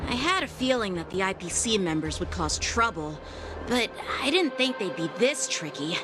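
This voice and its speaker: A woman's voice: medium pitch, sing-song, normal speed, background noise, lightly acted. An actress playing a firm, authoritative woman officer in a video game, formal and commanding. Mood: composed, firm. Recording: game music behind the dialogue.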